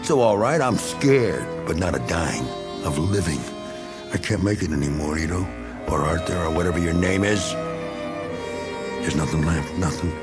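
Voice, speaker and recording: An actor playing a bitter, bigoted, self-pitying middle-aged American war veteran in a radio drama, with blustering, needling, slangy tough talk. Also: male voice, low-pitched, expressive, moderate pace, loud music behind the voice. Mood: desperate, hostile. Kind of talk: dramatic radio play acting.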